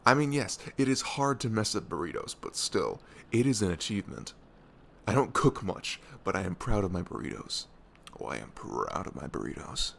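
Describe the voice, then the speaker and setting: A man playing a devoted, goofy young best friend in an ASMR audio roleplay, earnest and nervously lovestruck, with a soft velvety voice. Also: sits low, big pitch swings, vocal fry, a little hiss or hum, exaggerated voice. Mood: proud, teasing. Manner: hesitant, halting, earnest.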